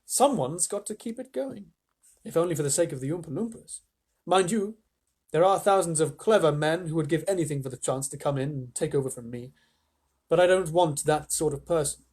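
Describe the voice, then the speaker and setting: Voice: mid-range voice, a little fast, a little mellow, husky. A storyteller voicing an eccentric old male chocolate factory owner, emphatic and exclamatory, in a storybook reading. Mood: earnest.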